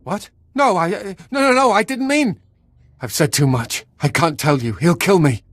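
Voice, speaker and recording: An actor playing a terrified, cowering Sith acolyte held prisoner in a video game, nervous, stammering and pleading. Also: male voice, high-pitched, normal speed, a bit bright, no echo. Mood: panicked.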